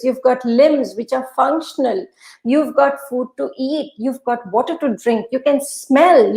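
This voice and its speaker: Female motivational coach, native Urdu speaker, slightly high voice, emphatic and instructive, recorded in an echoey room. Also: a little mellow. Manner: emphatic, instructive, repeats phrases. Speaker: podcast guest, mindset coach. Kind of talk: conversational motivational advice.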